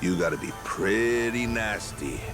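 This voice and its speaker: An actor playing a nervous, knowledgeable sailor, speaking in an emphatic, explanatory way in a game cutscene. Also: male voice, on the low side, expressive, measured pace. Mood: wary. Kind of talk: dramatic game cutscene acting. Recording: music under the voice.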